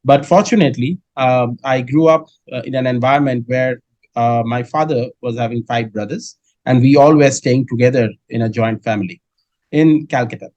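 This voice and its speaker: A middle-aged man from Kolkata with an Indian English accent, answering interview questions in a measured way with many filler sounds. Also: mid-range voice, a little mellow, dry recording, muffled recording. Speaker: guest, dairy business head.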